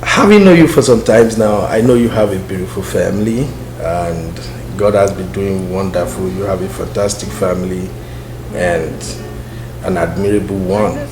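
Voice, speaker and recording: A man's voice: deep voice, normal speed, echoey room, background noise. A man hosting a podcast interview, playful and teasing, laughing often. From Nigeria. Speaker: podcast host. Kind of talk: casual interview chat.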